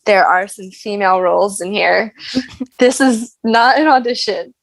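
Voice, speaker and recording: An American woman telling stories in a casual interview, animated and self-deprecating in her humour. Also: mid-range voice, a little slow, gravelly, dry recording, poor audio. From United States. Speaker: guest, actress and singer.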